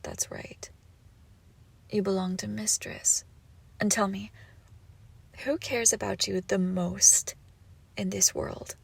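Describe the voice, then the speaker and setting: A woman voicing an obsessive, icy and menacing crime-boss ex-girlfriend in an intimate audio roleplay, speaking deliberately with swings between whispers and outbursts. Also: mid-range voice, steady tone, creaky, breathy edge, heavily acted. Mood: coaxing, controlling.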